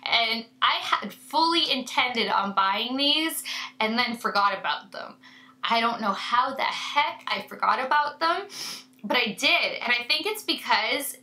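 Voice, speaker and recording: A woman in her thirties talks straight to camera from a room lined with makeup shelves. She sounds stuffed-up and nasal from a sinus infection, chatty and effusive, with a high, bright voice. The room adds a noticeable echo. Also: lively intonation, measured pace. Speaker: beauty vlogger. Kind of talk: casual to-camera review.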